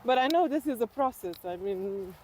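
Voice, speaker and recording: Female, middle-aged. Informal interview guest, talking on foot outdoors with clear background noise. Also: a little high, animated, moderate pace, warm tone. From Slovenia. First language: Slovenian. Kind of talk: casual reflective chat while walking. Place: outdoors on a walking trail.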